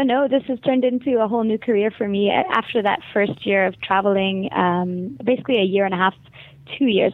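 A woman's voice: mid-range voice, dry recording. A woman from Montreal with a Canadian accent, a guest talking about her travels in a thoughtful, articulate way and laughing easily. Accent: Canadian English. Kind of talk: phone-in interview. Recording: tinny phone line.

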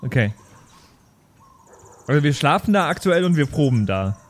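Higher-voiced man, halting and earnest. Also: very animated. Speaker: player in a pen and paper audio show. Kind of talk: improvised in-character role play.

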